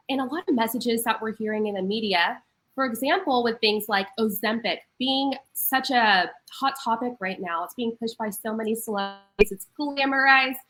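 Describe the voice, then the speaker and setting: A higher-pitched young woman with a bright, forceful tone, sounding slightly stuffy from a cold. She speaks as a wellness coach on a podcast, earnest and upbeat as she explains things. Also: low-quality recording. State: congested from a cold. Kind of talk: casual podcast talk.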